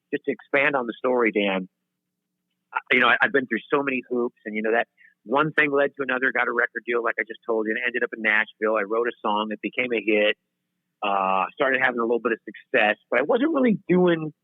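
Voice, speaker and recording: A man's voice: medium pitch, dry recording. A man in his fifties, a singer-songwriter guest telling long stories in an interview, rambling and restarting his sentences. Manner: rambling, self-interrupting, laughs at himself. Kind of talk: storytelling interview chat. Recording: tinny phone-line audio.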